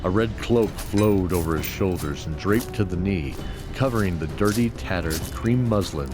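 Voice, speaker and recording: A man's voice: sits low, normal speed. A man reading scripted fantasy storytelling narration for an audio drama. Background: music.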